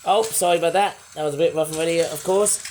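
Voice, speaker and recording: A man with a high voice rambles through a toy review, chatty and playful. His voice sounds a little under the weather, and he breaks into sudden excited outbursts. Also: fast, slight background noise. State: voice not fully well. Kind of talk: casual toy review vlog.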